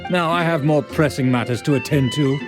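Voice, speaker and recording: An actor voicing a haughty, snobbish young aristocrat in a video game, speaking in a pompous, condescending way. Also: male voice, fairly high pitch, brisk, music in the background, natural voice. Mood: dismissive. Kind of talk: game voice acting.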